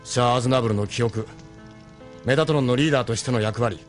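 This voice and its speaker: A man playing a gruff, steadfast ship captain and mentor in a Japanese audio drama, speaking bluntly and urgently. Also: mid-range voice, quick pace, soft background music, close to an everyday voice. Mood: earnest, encouraging.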